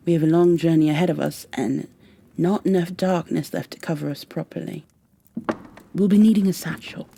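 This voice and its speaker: A woman playing a stern high elf warrior in an ASMR roleplay, with formal, commanding, impatient phrasing and a soft, breathy delivery that often drops toward a whisper. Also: moderate pace, a little hiss or hum. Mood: formal, urgent. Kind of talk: ASMR roleplay, scripted in-character monologue.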